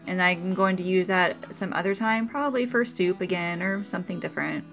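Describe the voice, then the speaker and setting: A woman's voice: on the low side, a little fast, vocal fry, a bit bright, faint music behind. A woman hosting a cooking video, explaining her meal prep step by step in a chatty, practical voice-over.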